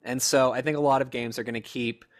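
Rambling, excitable podcast guy. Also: mid-range voice, fast talker. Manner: rambling, excited speculation with many fillers. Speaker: podcast co-host. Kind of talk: casual gaming chat.

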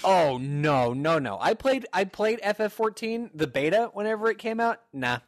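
Young male co-host, higher voice, animated storyteller on a casual podcast. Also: moderate pace, no echo, studio quality. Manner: animated storyteller, acts out quotes. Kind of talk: casual chat.

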